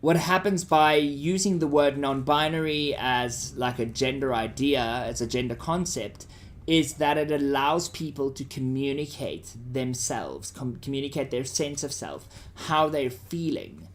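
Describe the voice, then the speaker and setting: A man's voice: medium pitch, a little slow. A man with a South African accent teaching students in an informal, conversational way, often checking that they follow. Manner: informal, checks listeners' understanding.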